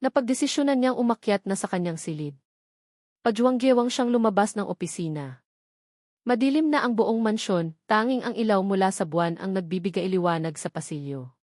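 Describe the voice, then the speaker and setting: A Filipino woman narrates a dramatic romance story from a script. Her pitch sits in the middle of the female range, and she is recorded close and dry, with very little room sound. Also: lively intonation, a little fast, a little mellow, no echo.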